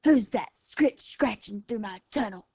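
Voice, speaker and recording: A woman's voice: mid-range voice, lively intonation, measured pace, gravelly, dark tone, breathy edge, no room sound, full character voice. A woman voicing a hungry, scraggly female coyote in a storybook, growling and snapping out menacing demands.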